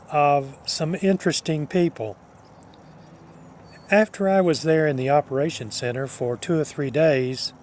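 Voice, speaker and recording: An older man telling a personal reminiscence to listeners, speaking in a measured, deliberate way with halting phrasing. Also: a little high, darkish tone, a little hiss or hum. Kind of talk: personal reminiscence told to an audience.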